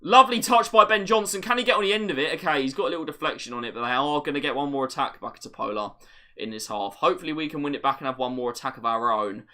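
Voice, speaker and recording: A man hosting a live watch-along, commentating on a match as a fan, his voice getting a little raspy. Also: bright, forceful tone. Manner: partisan, rises sharply on chances, drops low when play slows.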